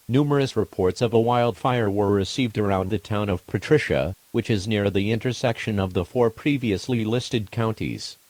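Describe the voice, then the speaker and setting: A man voicing an impersonal official emergency broadcast announcer, reading a formal alert in a measured way. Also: on the low side, a little mellow, no room sound, natural voice. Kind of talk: scripted emergency alert narration.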